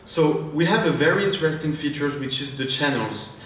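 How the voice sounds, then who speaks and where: A man's voice: on the high side, lively intonation, fairly quick, fairly bright tone, slight background noise. French guy demoing his startup on stage, lots of uh and um, super echoey hall, sounds far from the mic. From France. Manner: hesitant, many fillers and restarts. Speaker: startup founder presenting. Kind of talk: live product demo on stage. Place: large conference hall.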